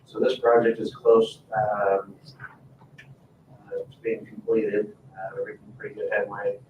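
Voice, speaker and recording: Low-voiced man, distant in an echoey room. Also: little pitch movement, measured pace, narrow, tinny audio. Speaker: town staff member presenting agenda items. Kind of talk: informal presentation at a council meeting. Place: indoor town council meeting room. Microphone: distant room microphone. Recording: echoey meeting room, distant mic, faint noise.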